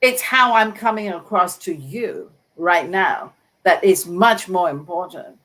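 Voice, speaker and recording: A woman's voice: fairly low pitch, a little slow, fairly bright tone. A woman on a live online panel, speaking earnestly about job searching and repeating her main points for emphasis over a video call. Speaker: panelist, book author.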